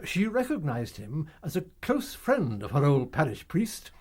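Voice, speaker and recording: A celebrated old English detective in a radio drama. He is courtly and self-satisfied, speaking in a high, very animated voice with formal, theatrical precision. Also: unhurried, full character voice. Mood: grandly inquisitive.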